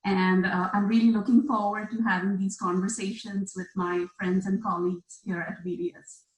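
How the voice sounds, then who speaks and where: A woman's voice: mid-range voice, fairly even intonation, normal speed. Woman panelist, polite self-intro, echoey room sound. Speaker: graduating divinity student, panelist.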